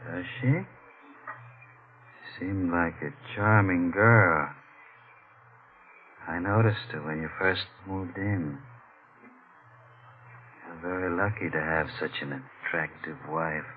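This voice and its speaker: A man's voice: low voice, takes it slowly, pauses often, slight background noise. An actor playing an eccentric, volatile male composer in a 1940s-style radio mystery, with precise, polite diction and a brooding, theatrical manner. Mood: eerily polite. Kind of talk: melodramatic 1940s radio acting. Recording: tinny old radio recording with music.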